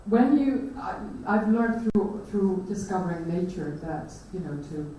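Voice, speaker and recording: A woman with an Icelandic accent gives a conference talk, pausing often and restarting her sentences. Her voice is mellow and dark. It rings through a large, echoey hall, picked up from a distance with faint noise behind. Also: on the low side, lively intonation. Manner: hesitant, many fillers and restarts. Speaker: conference speaker. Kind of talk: presentation talk.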